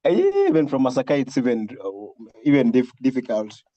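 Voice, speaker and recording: Halting male online session host, dry sound. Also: medium pitch, huge pitch swings, mellow, no echo, muffled recording. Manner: halting, repeats words and phrases. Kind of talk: casual group video-call chat. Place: online video call.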